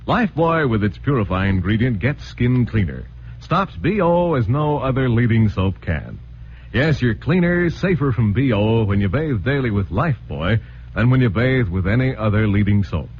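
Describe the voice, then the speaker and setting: Lively old-time radio announcer man. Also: mid-range voice, normal speed, slight background noise. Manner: polished, lively announcer delivery. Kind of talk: scripted announcing. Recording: dull old radio broadcast over music.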